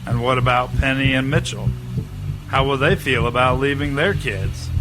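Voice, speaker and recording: A man's voice: mid-range voice, moderate pace, lightly acted, low-quality recording. A man playing a protective, worried husband in an audio drama, speaking earnestly and with emotion. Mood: hurt, upset. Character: protective, worried husband, reluctant to leave his son. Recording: music under the voice.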